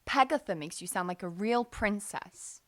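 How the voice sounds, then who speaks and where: A woman's voice: medium pitch, moderate pace, close to an everyday voice. A woman playing a breezy, joking young American army nurse in an audio drama, with an American accent and playful, slangy talk.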